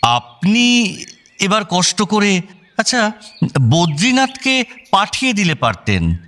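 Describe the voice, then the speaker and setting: An actor playing a chatty, excitable in-law in a radio drama, eager and exclamatory. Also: male voice, high-pitched, takes it slowly, exaggerated voice. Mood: chatty, solicitous.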